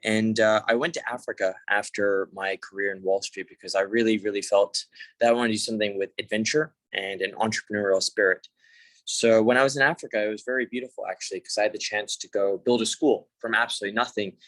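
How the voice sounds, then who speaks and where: A young man with a fairly low voice talks casually over a video call, the sound close and dry. He presents in an easygoing, informal way, full of fillers and restarts. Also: steady tone, fast, a bit bright, no echo, low-quality recording. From Hong Kong. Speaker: conference speaker.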